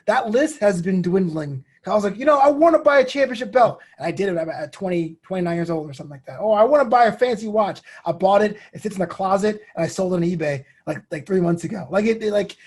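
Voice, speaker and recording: A man's voice: high voice, a little mellow, husky. A man chatting casually as an interview guest, conversational and inclined to joke. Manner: jokey, conversational. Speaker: interview guest, podcaster and comedian. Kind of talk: casual chat.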